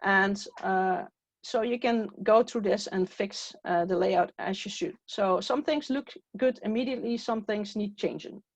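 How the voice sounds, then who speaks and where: A woman whose first language is Dutch, giving a step-by-step software training walkthrough with frequent "uh" fillers. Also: mid-range voice, a little slow, dry recording. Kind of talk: screen-share tutorial walkthrough. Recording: dull, dry, lo-fi recording.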